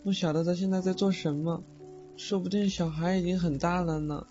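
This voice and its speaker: A man's voice: sits high, steady tone, brisk, dark tone. A man acting in an audio drama as a sweet, naive teenage boy ghost with a childlike, pouty manner. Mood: wistful. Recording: slightly dull audio, faint music behind.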